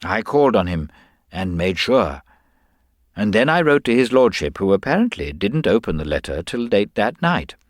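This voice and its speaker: A man voicing a mild, hesitant middle-aged government investigator in an audiobook, speaking gently and precisely. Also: fairly low pitch, sing-song, moderate pace, dry recording, clean studio sound, pitched above the performer's own voice. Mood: explanatory, methodical. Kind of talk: dramatised audiobook character dialogue.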